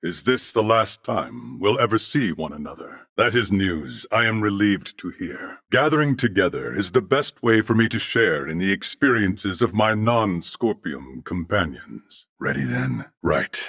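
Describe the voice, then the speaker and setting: A man playing a courteous, dignified, loyal warrior in a video game, with formal, measured and humble diction. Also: sits low, big pitch swings, a bit bright, dry recording, studio quality, exaggerated voice. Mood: earnest, reflective. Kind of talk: scripted video game character acting.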